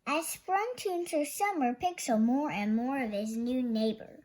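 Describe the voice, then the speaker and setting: High-pitched, slow young storyteller reading aloud. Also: a little mellow. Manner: halting young reader, stumbles and self-corrects. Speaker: storyteller reading a children's picture book. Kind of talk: read-aloud story narration.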